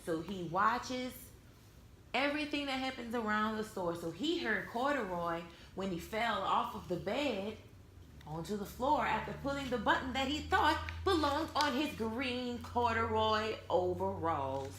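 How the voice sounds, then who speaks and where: Expressive high-voiced woman reading aloud, echoey room. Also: unhurried. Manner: expressive, retells and explains in simple words for children. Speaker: mother reading a storybook to her young children. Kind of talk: read-aloud storytime with explanations. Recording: echoey room with some background noise.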